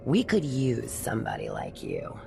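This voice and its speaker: Gravelly, brash gangster woman. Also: very deep voice, wildly swooping pitch, moderate pace, exaggerated voice. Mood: cocky, flirty. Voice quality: gravelly, creaky. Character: brash, flirty, streetwise female gangster tattooist. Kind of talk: video game voice acting. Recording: game music behind the voice.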